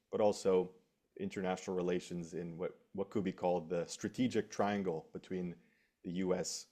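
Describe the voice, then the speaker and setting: Man, american, researcher, very low voice, steady formal delivery. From United States. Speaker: panelist, researcher and lecturer. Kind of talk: webinar panel introduction.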